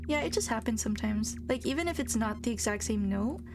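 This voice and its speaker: A young woman streamer in college chatting casually while playing a game, soft-spoken and fairly monotone. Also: fairly high pitch, brisk. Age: young adult. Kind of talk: casual live-stream chat while gaming. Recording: game music playing behind. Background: game music.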